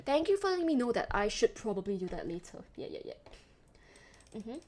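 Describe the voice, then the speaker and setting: A young woman from Singapore with a softened Singaporean accent, chatting casually with her stream chat, rambling with lots of fillers and laughs. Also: fairly high pitch, big pitch swings, a little fast. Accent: Singaporean, softened toward Western English.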